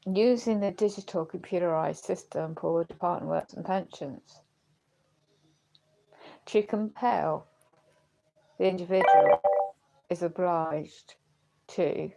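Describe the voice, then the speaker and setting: A woman livestreaming in a rambling, halting way, earnest and questioning, her voice going as if she is unwell. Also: fairly low pitch, gravelly, dark tone, poor audio. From United Kingdom. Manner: halting, searching for words. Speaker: live streamer. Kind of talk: rambling personal livestream talk.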